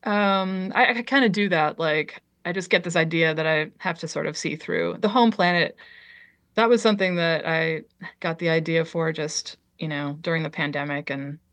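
A woman's voice: low voice, moderate pace, fairly bright tone. A woman artist chatting casually as an interview guest, hesitant and full of ums, trailing off her sentences. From Liberia.

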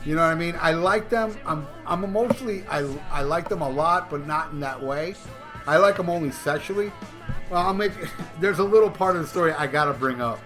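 A middle-aged man hosts a casual podcast, his voice sitting a little higher than most men's. He tells a long, profane story with stumbling restarts. Music plays clearly beneath him throughout. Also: a little fast, fairly bright tone. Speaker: podcast host. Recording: music playing clearly underneath.

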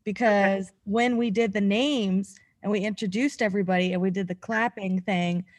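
A woman chatting casually as a podcast host, animated and giggly, with some casual swearing. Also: mid-range voice, normal speed, no echo. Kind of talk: casual chat.

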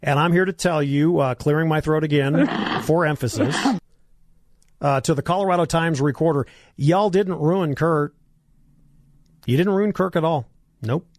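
A hoarse man hosting a talk radio show, delivering a combative, sarcastic monologue and coughing now and then. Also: on the high side, unhurried, long pauses, no room sound, studio quality.

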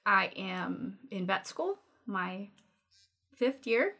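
A woman's voice: mid-range voice, big pitch swings, drawn-out words, bright, forceful tone. A woman in her twenties from Denmark, speaking English with a Danish accent as a podcast guest, talking openly with frequent laughs. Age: 20s. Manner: open, frank, laughs often between thoughts. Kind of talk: casual personal conversation.

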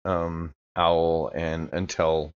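A man's voice: low-pitched, level tone, very slow, smooth. A man playing a tabletop game, improvising casually in and out of character. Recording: slightly dull, lo-fi microphone sound.

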